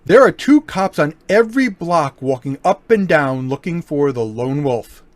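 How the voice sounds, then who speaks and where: An actor in an amateur old-time radio play, voicing a smooth, mocking gentleman burglar with a lively, swinging delivery. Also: fairly high pitch, unhurried, dry recording. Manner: smooth, mocking, turns menacing. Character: suave, cocky male gentleman burglar.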